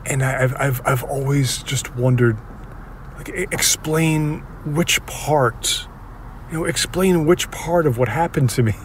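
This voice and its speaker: An actor as an uneasy, reflective man in an audio drama, revisiting a place from his past. His mid-pitched voice rambles naturally, halting and correcting itself as he records his thoughts. Clear urban noise and traffic ambience sit behind him. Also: lightly acted. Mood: wistful, puzzled. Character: uneasy, reflective man revisiting a creepy old apartment building. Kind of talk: naturalistic monologue, recording thoughts while on the move.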